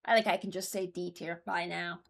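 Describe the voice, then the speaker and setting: A woman's voice: fairly low pitch, fairly even intonation, brisk, vocal fry, fairly bright tone. Casual, opinionated woman reviewing. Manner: opinionated, informal, thinking aloud. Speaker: video host ranking game voice lines. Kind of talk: casual reaction commentary.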